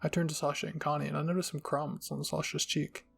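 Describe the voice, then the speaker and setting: A man reads a first-person story as its narrator. His voice is husky with plenty of fry and sits a little high, with faint music behind him. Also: level tone, fast talker, a bit bright. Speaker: channel host and story narrator.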